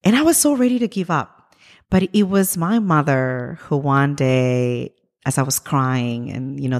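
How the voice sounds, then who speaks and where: A woman's voice: a bass voice, a little mellow, long reverb tail. A woman in her thirties from Ecuador, answering interview questions earnestly and reflectively, with frequent ums and uhs. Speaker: guest, immigrant rights leader.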